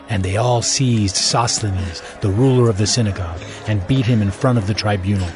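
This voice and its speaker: A man narrating scripted audio Bible scripture as a measured, solemn storyteller. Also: on the low side.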